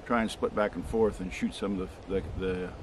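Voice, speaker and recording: An older man hosting a homestead vlog, talking casually and haltingly to the camera outdoors in a pine forest, with lots of fillers and restarts. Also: low voice, fairly even intonation. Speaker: homestead channel host. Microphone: camera mic from a few meters away. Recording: noisy outdoor camera audio.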